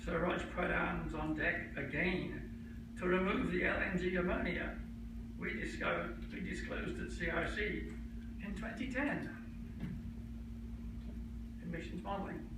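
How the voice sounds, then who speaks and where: An older man, an academic, reads his humorous rhyming poem to a conference in measured short lines with pauses. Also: high-pitched. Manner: measured, pausing between short verse lines. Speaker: academic researcher reading his own poem. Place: conference room, recorded from a distance. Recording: echoey hall from far off, noisy.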